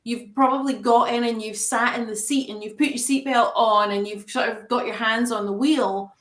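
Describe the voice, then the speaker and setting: A woman coach talks as an interview guest, calm and measured, reaching for everyday analogies. Her voice is recorded in an echoey room. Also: fairly high pitch.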